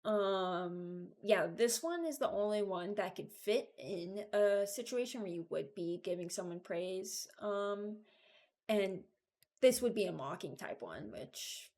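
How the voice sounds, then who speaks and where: A woman giving informal, halting commentary as a video creator, thinking aloud while she ranks things. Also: mid-range voice, darkish tone. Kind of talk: casual commentary, ranking game voice lines.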